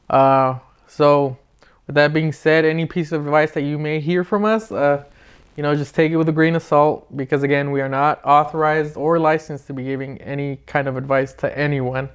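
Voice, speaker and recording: A male podcast host with a fairly high, very clear and smooth voice. He talks casually and slangily, arguing his opinions like he's chatting with a friend. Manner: informal, slangy, argumentative. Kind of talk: casual advice podcast banter.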